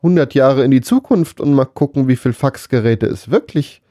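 A man's voice: mid-range voice, moderate pace, mellow, no echo, studio quality. A man chatting casually as a podcast co-host, with laid-back, wry joking. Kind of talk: casual chat, bantering Q&A.

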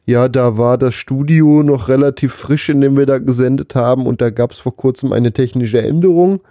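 A man's voice: medium pitch, moderate pace, mellow, no echo, studio quality. A man co-hosting a podcast, talking in a casual, conversational way. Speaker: podcast co-host. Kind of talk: casual chat.

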